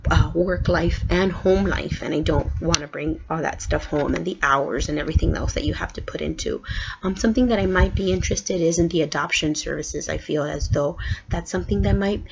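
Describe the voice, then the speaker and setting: A woman's voice: sits low, fairly quick, vocal fry. A young woman from Guatemala introducing herself as a student in a casual video, earnest and full of ums and restarts. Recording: dull lo-fi recording with background noise.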